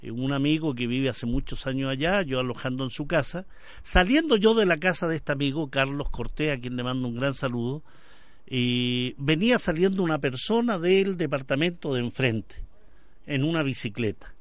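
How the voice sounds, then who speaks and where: A man's voice: medium pitch, lively intonation, moderate pace, dry recording. A Chilean man hosting a conversational radio interview, often repeating words as he searches for a phrase. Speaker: radio show host.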